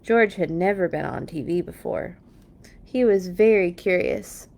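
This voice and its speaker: Slow-paced woman reading a children's storybook. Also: lowish voice, gravelly. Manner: expressive, playful asides to young listeners. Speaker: children's read-along storyteller. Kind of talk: storybook read-aloud with animated asides.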